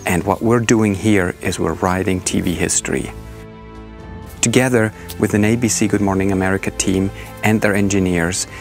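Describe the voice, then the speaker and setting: Middle-aged male narrator, low and steady, over a loud music bed. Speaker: drone team leader. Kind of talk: documentary narration.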